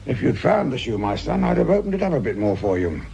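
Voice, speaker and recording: An actor playing a grumbling, sardonic, corner-cutting British police sergeant in a radio drama, full of world-weary asides. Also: male voice, mid-range voice, sing-song, quick pace, vocal fry, warm tone, a little hiss or hum.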